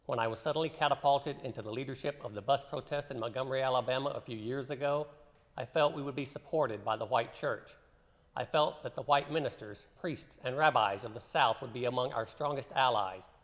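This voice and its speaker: A man's voice: medium pitch, fairly quick, fairly bright tone. A man, a church member, reading quotations aloud in a solemn, scripted way. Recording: long hall reverb.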